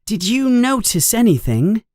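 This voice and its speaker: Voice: mid-range voice, very animated, unhurried, a little mellow, no room sound, studio quality, pitched above the performer's own voice. A narrator voicing an earnest, bossy, inquisitive schoolgirl in a storybook, with an emphatic, questioning manner. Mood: inquiring. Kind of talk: storybook character voice.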